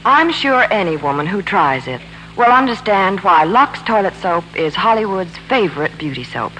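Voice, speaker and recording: Animated, polished 1940s radio woman. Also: mid-range voice, normal speed, faint music behind. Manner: polished, enthusiastic. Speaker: Hollywood reporter and product pitchwoman. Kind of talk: scripted 1940s radio banter and advertising. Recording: noisy, humming 1940s radio broadcast.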